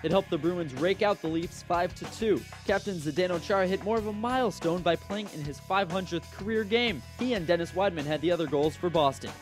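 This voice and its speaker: A man's voice: high-pitched, fairly quick, few pauses, faint music behind. A man presenting radio news, sports updates and sports talk as an anchor and host. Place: radio studio.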